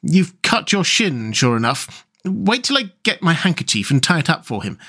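A man voicing an excitable, bossy but kind-hearted male rat in a storybook, with an emphatic manner. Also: fairly high pitch, moderate pace, voice pushed up, heavily acted. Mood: kindly, concerned. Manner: exclamatory, impatient, emphatic. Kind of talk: storybook character voice.

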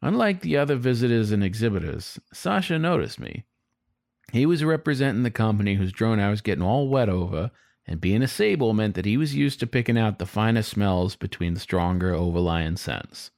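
A low, lively voice for a young male fox, performed by a man, narrating a storybook tale in the first person. He is cheeky and lustful, chatty and confiding, with wry asides and big swings in pitch. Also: brisk, gravelly, no echo, clean studio sound. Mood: playful, confiding.

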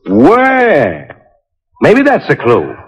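Brusque police inspector, animated swings, husky voice, badgering, vintage radio crime drama. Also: male voice, fairly high pitch, very slow, dull audio, heavily acted. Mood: pressing suspicion. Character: blustery, needling male police inspector, pushy and sarcastic. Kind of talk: 1940s radio detective drama acting. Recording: old 1940s radio recording.

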